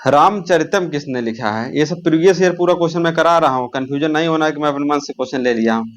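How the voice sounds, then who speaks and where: A man's voice: fairly high pitch, quick pace. A man teaches an informal exam revision lesson, repeating key terms and answering his own questions.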